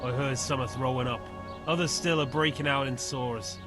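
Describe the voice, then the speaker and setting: A grave medieval village spokesman, acted by a man with a mid-pitched voice, over prominent music. He sounds weary and guarded and speaks bluntly, as game drama. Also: steady tone, normal speed, close to an everyday voice. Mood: worried, weary. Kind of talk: video game voice acting.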